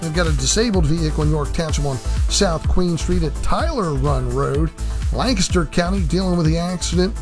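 A man's voice: on the high side, moderate pace, music in the background. A man giving a clipped radio traffic report, listing roads and problems.

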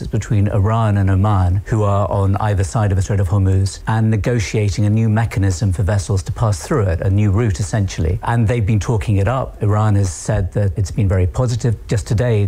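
A man's voice: on the low side, warm tone. A man correspondent explaining a news story in a conversational, explanatory way. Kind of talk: news analysis.

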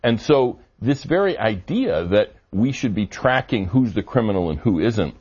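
Low husky guy arguing his point, lots of ums, kinda dull lo-fi sound. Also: big pitch swings, darkish tone. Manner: measured, argumentative, frequent fillers. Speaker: immigration policy guest. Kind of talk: interview answers. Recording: dull lo-fi audio.

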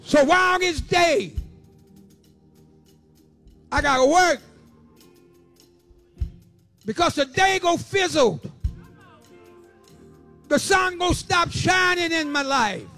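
Male preacher, seventies. Very high pitch, slow rhythmic declamation, impassioned and repetitive. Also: pushed and bright. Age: 70s. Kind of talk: impassioned sermon.

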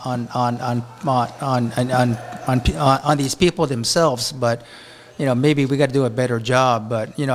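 A man from Japan, a chef and restaurant owner, talks in a rambling, halting way with many restarts during an interview at a beach hotel. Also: medium pitch. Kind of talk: casual interview talk.

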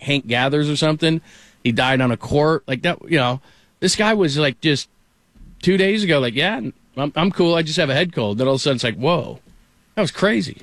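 A middle-aged man hosting a radio show, telling a story in a rambling, animated way with lots of restarts. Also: a little high, no echo. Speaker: radio host. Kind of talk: casual talk radio chat.

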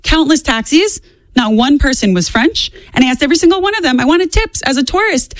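Woman in her twenties, higher voice, very quick pace, emphatic, opinionated political guest, close dry sound. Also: lively intonation, no room sound. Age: 20s. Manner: emphatic, repeats points for stress.

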